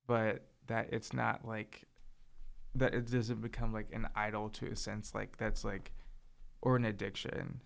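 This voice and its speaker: A young man co-hosting a casual chat, soft-spoken and hesitant, filling his talk with "like" and "um". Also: fairly low pitch, vocal fry. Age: young adult. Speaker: podcast co-host.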